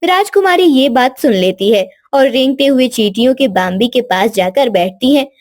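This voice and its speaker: A woman's voice: high voice, animated, normal speed, darkish tone, dry recording, clean studio sound. A woman storyteller reading scripted narration for a children's moral story.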